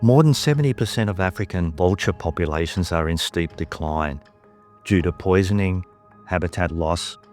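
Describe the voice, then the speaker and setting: Deep-voiced male travel narrator. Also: normal speed, darkish tone, faint music behind. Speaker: host and narrator.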